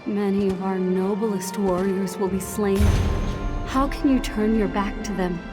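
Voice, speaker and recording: An actress playing a distressed warrior aide in a fantasy video game, pleading urgently and formally. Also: female voice, mid-range voice, fairly even intonation, measured pace, close to an everyday voice. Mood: alarmed, pleading. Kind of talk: scripted video game voice acting. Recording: loud music over the voice.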